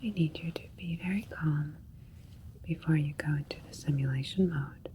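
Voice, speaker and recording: A woman playing a calm, clinical alien doctor in an ASMR sci-fi roleplay, whispering breathy, soothing, methodical instructions. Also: sits low, normal speed, close to an everyday voice. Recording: faint ambient noise. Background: faint spaceship ambience.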